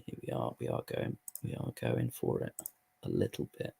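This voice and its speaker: Hoarse man with a gravelly voice. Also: rumbling low, normal speed, mellow. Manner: swears freely, muttering asides while playing. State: hoarse, voice going. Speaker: host commentating a football management game. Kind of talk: casual live gaming commentary.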